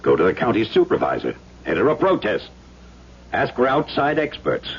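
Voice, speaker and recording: A blunt man in a radio play, pushing for action. His voice is gravelly and very animated. The recording is dull with faint noise. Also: medium pitch, moderate pace. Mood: blunt, urging. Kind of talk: radio drama acting.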